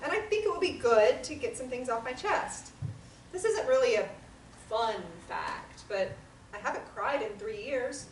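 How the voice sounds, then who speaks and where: Actress reading nervous young woman, friendly, high voice, echoey room. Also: expressive, moderate pace. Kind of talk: table read. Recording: roomy audio from far off, clear background noise.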